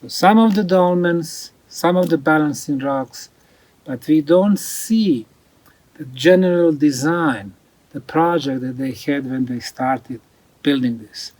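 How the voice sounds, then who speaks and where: A man giving an unscripted explanatory talk as a researcher, deliberate and emphatic, repeating key points. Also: fairly high pitch, darkish tone, no echo, low-quality recording. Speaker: researcher presenting ancient megalithic sites.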